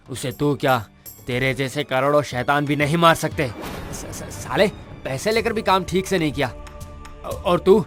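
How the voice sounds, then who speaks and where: Vengeful ghost, mocking threats, horror drama style, faint music under it. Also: male voice, on the high side, a bit bright. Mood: angry, threatening. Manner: menacing, taunting, drawn-out threats. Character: vengeful male ghost, menacing, mocking executioner. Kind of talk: Hindi horror audio drama acting.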